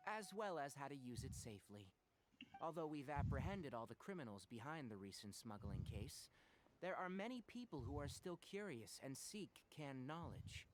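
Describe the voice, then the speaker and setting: A man's voice: medium pitch, big pitch swings, normal speed, noisy background, lightly acted. A man voicing an earnest, courteous forest ranger mentor in an anime game, explaining things politely.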